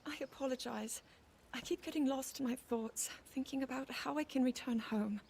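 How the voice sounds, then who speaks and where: An actress playing an earnest young princess in a game cutscene, speaking hesitantly. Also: female voice, high-pitched, normal speed, creaky, a little mellow, a little hiss or hum. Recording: game cutscene over music.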